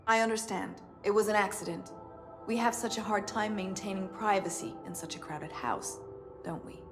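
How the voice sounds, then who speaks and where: An actress as a prim young governess, loud music. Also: female voice, mid-range voice, fairly even intonation, moderate pace, lightly acted. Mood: composed, reproving. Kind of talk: video game voice acting.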